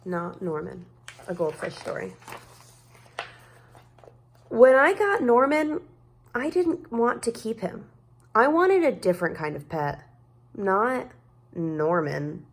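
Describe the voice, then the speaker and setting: A woman reading a children's story aloud in an expressive way, stretching words for dramatic emphasis. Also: medium pitch, takes it slowly, long pauses. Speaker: storybook reader.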